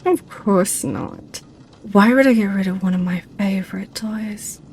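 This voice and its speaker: A woman's voice: mid-range voice, a little slow, darkish tone, slight background noise, lightly acted. A woman playing a seductive, possessive succubus in an ASMR roleplay, with a teasing, sly way of talking. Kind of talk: ASMR roleplay audio drama.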